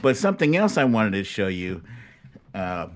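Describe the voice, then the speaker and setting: A man's voice: on the low side, very animated, normal speed. An American man in his fifties hosting a casual live online show, playful and jokey, drawing out words theatrically. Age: 50s. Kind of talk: casual livestream chat.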